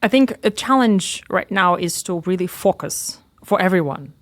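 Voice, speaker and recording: Animated woman talking about teaching. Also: lowish voice, moderate pace. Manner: animated, enthusiastic, many restarts. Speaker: guest, pianist and teaching assistant. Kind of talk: conversational interview answers.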